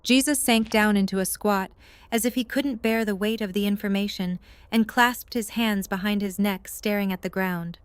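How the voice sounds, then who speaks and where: Woman narrator reading a story, clean smooth voice, mid pitch, super dry recording. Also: steady tone, normal speed, dry recording.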